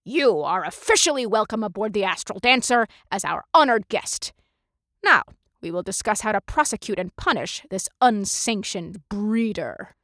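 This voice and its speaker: A woman's voice: medium pitch, animated, normal speed, fairly bright tone, no echo, clean studio sound. A woman voicing a proud, stern alien ship captain who speaks in a formal, clipped way.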